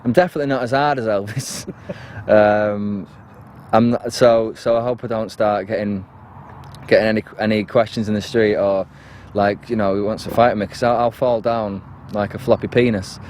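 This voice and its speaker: A man's voice: on the low side, fairly even intonation, normal speed, darkish tone, a little hiss or hum. A man, an actor, talking hesitantly and self-deprecatingly in a casual interview, with many fillers and restarts.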